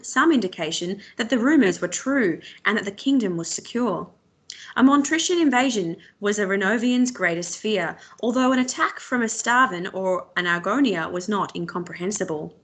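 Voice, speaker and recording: Woman reading a book aloud like a teacher, expressive, measured, mid pitched. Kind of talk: scripted storybook narration.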